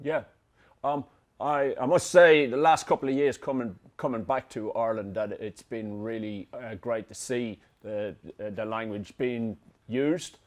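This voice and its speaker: A man's voice: mid-range voice, fairly even intonation, measured pace, darkish tone. A man interviewing filmmakers, asking questions in a hesitant, stumbling way. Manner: hesitant, stumbling over words.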